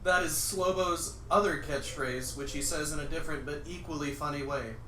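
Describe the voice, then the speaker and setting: Man, livestream script reader, amused delivery, fairly high voice, echoey room, faint noise. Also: moderate pace, pushed and bright. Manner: amused, often breaking into laughter. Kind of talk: comic dramatic reading of a screenplay. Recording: roomy, distant mic, faint noise.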